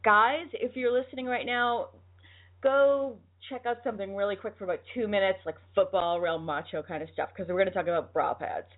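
A woman's voice: medium pitch, a little fast. An American woman hosting a radio show, chatting casually and enthusiastically through announcements and sponsor plugs in a rambling, informal way. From United States, lived in Boston. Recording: thin, phone-like band-limited sound.

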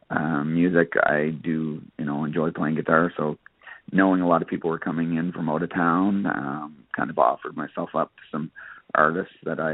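A man's voice: deep voice, no echo. A man giving casual, conversational interview answers with frequent verbal hedging, as a guest musician. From Nova Scotia, Canada. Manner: hesitant, conversational, peppered with "you know". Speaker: interview guest, country singer and guitarist. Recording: narrowband phone-like radio line.

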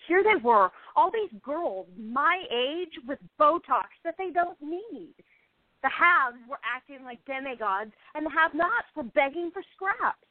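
A woman's voice: sits high, no echo. A woman acting a stubborn, frustrated thirty-year-old struggling actress in an amateur radio play, emphatic and theatrical. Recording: tinny phone-line internet radio audio.

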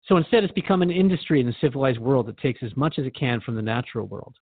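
A man's voice: mid-range voice, lively intonation, fast, husky, dry recording, narrow, tinny audio. A man playing an earnest, thoughtful radio host who interviews in a reflective, conversational and sometimes hesitant way. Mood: earnest, troubled.

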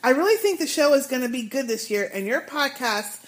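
A woman's voice: high voice, expressive, a little fast. A woman co-hosting a podcast, chatting casually and reading out listener emails with playful laughs. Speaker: podcast co-host.

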